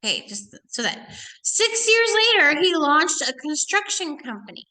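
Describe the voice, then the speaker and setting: Bright, high-voiced woman reading aloud. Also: sing-song, unhurried, a little hiss or hum, poor audio. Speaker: educator reading a children's book aloud. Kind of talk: expressive read-aloud with casual asides.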